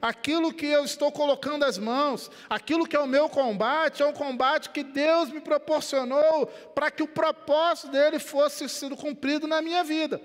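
An older man from Rio de Janeiro preaching a sermon in Brazilian Portuguese on a headset mic in a church, animated, dropping to quiet and rising again. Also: extremely high pitch. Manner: animated preaching with wide gestures, swings between quiet and loud. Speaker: pastor. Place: church sanctuary. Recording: long church hall reverb.

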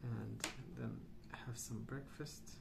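Male ASMR host, hushed and slow, even-toned and hesitant, with audible background noise. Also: mid-range voice, gravelly. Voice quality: soft, hushed, at times near whisper. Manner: unhurried, hesitant, musing. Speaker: host of a colouring ASMR channel. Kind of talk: soft-spoken ASMR rambling while colouring. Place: indoors at a wooden table.

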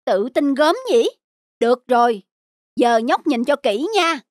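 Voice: sits high, lively intonation, a little slow, no room sound, clean studio sound. An actor voicing a smug, scheming man who taunts children in a mocking drawl in a cartoon folk tale. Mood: smug, taunting. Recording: music under the dialogue.

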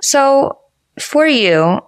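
A woman's voice: high voice, very animated, drawn-out words, dry recording. A woman hosting a podcast, asking questions in a conversational interview style.

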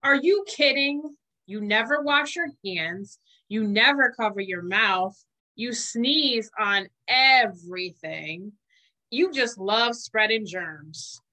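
A cheeky storybook germ, acted out by a woman, high and bright in voice. It brags in a chummy way, with swinging intonation. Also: animated, slow, exaggerated voice, rough recording. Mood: scolding, persuasive. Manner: bragging, chummy. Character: cheeky boastful germs in a picture book.

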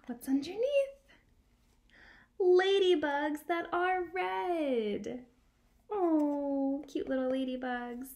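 Young female read-aloud teacher for little kids: high, unhurried, animated, sing-song delivery. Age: young adult. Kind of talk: picture-book read-aloud for young children with sign lessons. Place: small room at home by a window.

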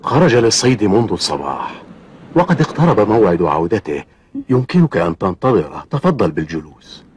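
A man voicing a gossipy, suspicious middle-aged fisherman in a cartoon, with deliberate, dramatic delivery. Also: lowish voice, very animated, a little mellow, a little hiss or hum. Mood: curious, friendly. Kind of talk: Arabic cartoon dubbing.

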